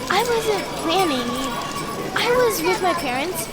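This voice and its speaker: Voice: extremely high pitch, lively intonation, unhurried. A performer playing an earnest, naive, talkative little boy in a family audio drama, eager and guileless. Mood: chatty. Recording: prominent music behind the voice.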